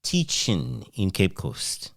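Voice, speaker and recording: A man's voice: lowish voice, sing-song, slow, vocal fry, fairly bright tone, no echo, studio quality. A man interviewing someone in a conversational style. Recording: thin phone-like sound.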